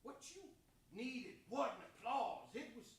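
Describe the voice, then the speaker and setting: A man playing a stern, self-righteous church pastor in a staged reading, speaking in an emphatic, deliberate, preachy way. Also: extremely high pitch, gravelly, heavily acted. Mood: stern, condemning. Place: large echoey room, live staged reading. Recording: echoey hall, distant mic, faint noise.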